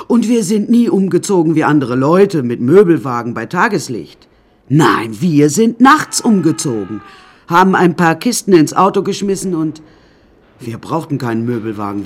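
Wry male storyteller, rather high voice, rambling, hesitant asides, radio drama monologue. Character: wry, rambling storyteller recounting own troubled childhood.

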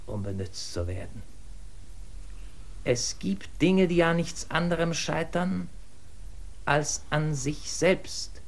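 An actor in a radio drama playing an official who explains at length in a measured way and rises to urgent insistence. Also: male voice, on the high side, gravelly. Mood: brooding.

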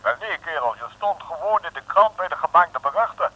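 An actor playing a breezy, pompous, chummy police chief over the telephone in an old comic radio serial. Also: male voice, a little high, expressive, a little fast, sharp tone. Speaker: actor playing a superior officer. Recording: muffled old radio recording.

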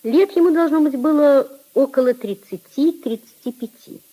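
A woman giving deliberate, expressive literary narration in a 1950s radio drama, with a husky, soft tone. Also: sits high, rough recording.

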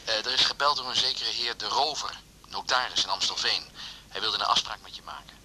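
Sharp, noisy phone voice of easygoing man. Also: fairly low pitch, fairly quick. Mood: affectionate, reassuring. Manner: informal, reassuring, teasing. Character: affectionate, easygoing male partner relaying messages by phone. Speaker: actor in a radio drama. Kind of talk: naturalistic 1980s radio drama acting.

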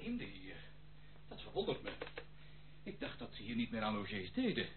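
A man's voice: lowish voice, huge pitch swings, normal speed. An actor playing a roguish, secretive male intruder in a radio play, nonchalant, teasing and theatrical. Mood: cagey, nonchalant. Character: roguish, secretive prodigal intruder, jaunty and sly. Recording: tinny old radio recording, faint noise.

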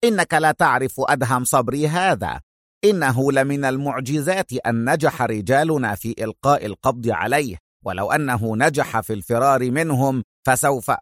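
A man's voice: medium pitch, fairly quick, no echo, studio quality, lightly acted. A man voicing an eager, nervous villain's associate, agitated and insistent, in a dramatized audiobook.

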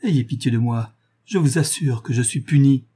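A man's voice: medium pitch, normal speed, warm tone, breathy edge, lightly acted. A man reading a novel aloud as a guilt-ridden young lover, passionate and pleading in an exclamatory way. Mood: tender pleading.

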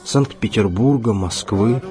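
A man presenting a solemn radio program as host, in a measured, formal way with deliberate phrasing. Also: fairly low pitch. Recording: music behind the voice. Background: background music.

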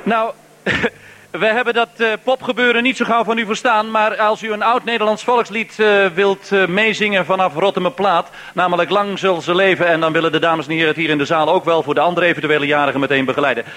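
Bright-voiced formal radio host, male. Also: high voice, steady tone, brisk. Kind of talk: live hosting before a hall audience.

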